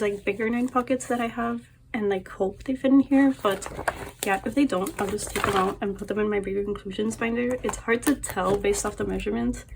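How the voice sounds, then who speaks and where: A woman's voice: mid-range voice, creaky, noisy background. A young woman vlogging casually about her collection and life, rambling with lots of fillers, her voice a little off from allergies. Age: young adult. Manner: chatty, rambling, many fillers and laughs. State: voice a bit off from allergies. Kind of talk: casual chat to camera.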